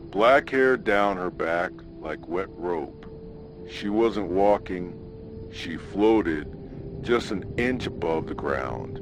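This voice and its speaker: A man voicing a haunted young army medic who recounts a terror from his journal in a halting, shaken, confessional way, for a radio ghost tale. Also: sits low, full character voice.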